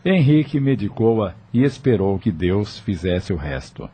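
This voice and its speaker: Deep, mellow male storyteller. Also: big pitch swings, a little slow, slightly rough. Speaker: narrator. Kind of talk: scripted audio novel narration.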